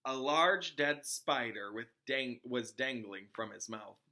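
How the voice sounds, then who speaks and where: A man reading a children's book aloud as a teacher, with expressive narration aimed at young listeners. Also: mid-range voice, slow. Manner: explains hard words in simpler terms.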